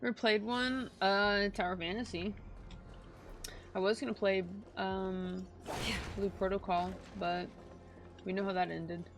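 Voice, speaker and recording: A woman's voice: medium pitch, steady tone, a little slow, background noise. A woman chatting casually on a game livestream, laid-back, with muttered asides. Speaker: game streamer. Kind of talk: casual livestream chat while playing. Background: clear game music.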